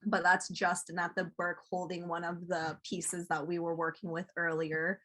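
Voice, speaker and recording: A woman's voice: on the low side, level tone, moderate pace, a bit bright. A young woman, a student presenter and host, introducing speakers and reading prepared remarks aloud. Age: young adult.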